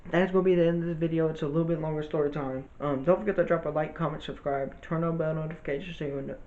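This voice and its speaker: A man's voice: a little high, steady tone, racing, warm tone, muffled recording. A young man telling a casual storytime monologue to his viewers, rambling and informal, full of restarts and fillers. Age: young adult. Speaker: vlogger.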